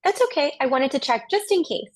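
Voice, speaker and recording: A woman's voice: high-pitched, lively intonation, a little fast. A woman co-hosting a webinar, making a practical aside, a little distant from the mic on the call.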